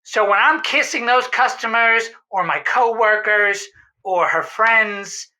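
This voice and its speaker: A desperate small-business owner on a comedy radio call-in. The voice is high and forceful, pleading and defensive, with a melodramatic insistence. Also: female voice, takes it slowly. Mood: desperate, insistent. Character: desperate, defensive mistletoe-shop owner, spouse of a fed-up wife.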